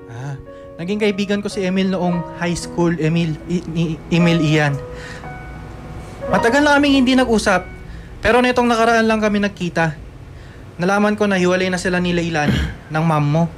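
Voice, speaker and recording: A man acting apologetic middle-aged uncle, high register, hesitant, pleading, radio drama, soft music behind. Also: normal speed, long pauses, lightly acted. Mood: hesitant, explaining. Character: apologetic middle-aged uncle Larry, gently confessing a secret.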